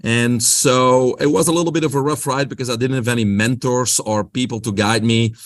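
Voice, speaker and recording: A man's voice: medium pitch, steady tone, a little fast. A man with a Belgian accent, a guest on a podcast interview, explaining his health coaching with an upbeat motivational-speaker delivery.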